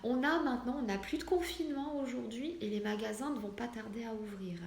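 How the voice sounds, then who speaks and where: A woman in her forties with a fairly high voice talks casually to her online followers. She is earnest and emphatic, often putting questions straight to the listener. The recording is echoey, with some noise behind her. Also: fairly quick, a little mellow. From France, Portuguese family background. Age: 40s. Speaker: medium addressing online followers. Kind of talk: casual motivational vlog. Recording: roomy echo, clear background noise.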